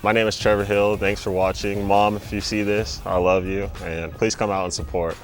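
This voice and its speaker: A man's voice: lowish voice, flat delivery, normal speed, faint music behind. A young American man, a college basketball player, talking casually about himself in a profile interview. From Utah and Texas, United States. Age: young adult.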